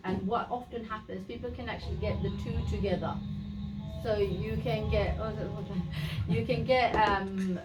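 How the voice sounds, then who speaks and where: Woman presenting a community workshop, sylheti her first language, chatty and plain, lots of ums, far from the mic. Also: mid-range voice. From Bangladesh. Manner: chatty, explaining plainly with many fillers and restarts. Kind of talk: informal awareness talk to a group. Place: community meeting room. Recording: echoey room, voice from a distance.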